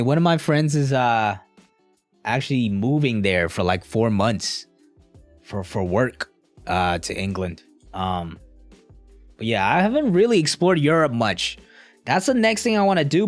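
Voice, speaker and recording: A man's voice: mid-range voice, expressive, moderate pace, no room sound, faint music behind. A young man casually chatting with a live stream audience in a laid-back, slangy, rambling way. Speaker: streamer answering live chat.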